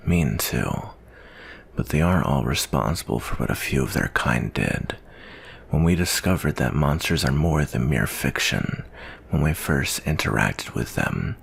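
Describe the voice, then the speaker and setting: A man playing a jaded, guilt-ridden monster-hunting soldier in an ASMR roleplay monologue, speaking in a slow, brooding, weary way with a gravelly, husky voice. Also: a bass voice, a bit bright, heavily acted. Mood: brooding, bitter.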